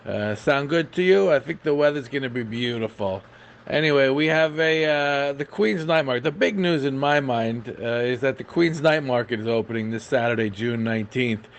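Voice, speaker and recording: A man's voice: mid-range voice, moderate pace, gravelly. A man giving an informal podcast report on local events, chatty and enthusiastic, with frequent hesitations.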